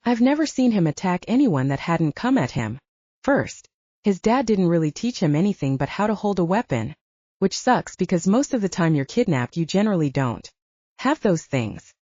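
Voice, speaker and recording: A jaded young mercenary with a blunt, offhand manner and a lot of swearing, voiced by a woman narrator. The voice sits low and mellow but moves lively in pitch. The sound is dry and a little dull. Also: moderate pace, dry recording.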